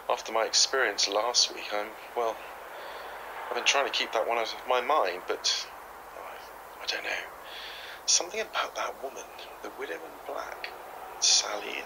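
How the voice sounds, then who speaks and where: A man's voice: medium pitch, normal speed, vocal fry, pauses often, in a whisper, close to an everyday voice. A man playing a puzzled, polite folklore investigator musing hesitantly into his recorder in an audio drama. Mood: uneasy. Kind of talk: audio drama, found-tape documentary. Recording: noisy field recording with outdoor ambience.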